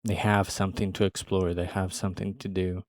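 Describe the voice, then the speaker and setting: Low-voiced man reacting casually. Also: level tone, a little fast, darkish tone, no room sound, studio quality. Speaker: reaction video host.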